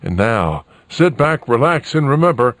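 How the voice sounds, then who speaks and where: A man hosts radio in an animated, mid-pitched voice, close and dry. He chats off the cuff, folksy and meandering, with exclamations sprinkled in. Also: measured pace, no room sound. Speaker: radio host. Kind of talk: unscripted radio chat.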